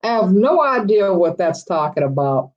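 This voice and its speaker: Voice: mid-range voice, very animated, normal speed. A livestream host rambles through viewer comments in casual chat. The host chuckles often and restarts sentences a lot. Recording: dull lo-fi livestream audio.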